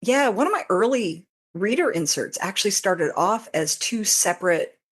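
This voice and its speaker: A woman who writes fiction, chatting casually as a guest and telling stories, animated when quoting her own reactions. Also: medium pitch, normal speed, husky.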